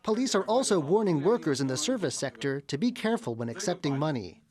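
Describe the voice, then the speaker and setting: A man voicing a scripted news report in a lively, expressive way. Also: on the high side, fast talker.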